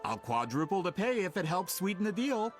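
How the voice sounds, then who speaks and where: A man's voice: high voice, sing-song, fairly quick, faint music behind. An actor playing a self-assured, somewhat impatient author in a video game, pushing to get what he wants. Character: pushy male author chasing a story.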